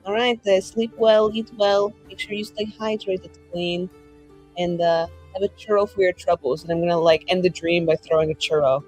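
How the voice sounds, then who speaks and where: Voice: mid-range voice, fairly even intonation, moderate pace, darkish tone, faint music behind, poor audio. A tabletop roleplaying game player talking casually and playfully, improvising in character and narrating actions in informal language.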